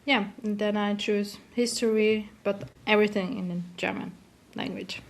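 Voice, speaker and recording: Woman, young adult, gravelly fry, halting English, guest. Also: medium pitch, steady tone. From Slovakia. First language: Slovak. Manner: halting, searches for English words. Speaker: guest, teacher in training. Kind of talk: casual conversation.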